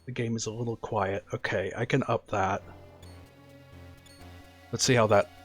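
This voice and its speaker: Soft-spoken man chatting over gameplay. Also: fairly low pitch, steady tone, moderate pace, soft background music. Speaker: streamer. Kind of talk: casual gameplay commentary.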